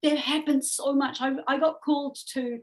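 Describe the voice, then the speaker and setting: A woman's voice: high voice, fairly even intonation, moderate pace. A middle-aged woman from New Zealand with a strong Kiwi accent, chatting earnestly as a music manager in an interview. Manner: chatty, earnest, repeats words and adds "right?". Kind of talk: casual interview chat.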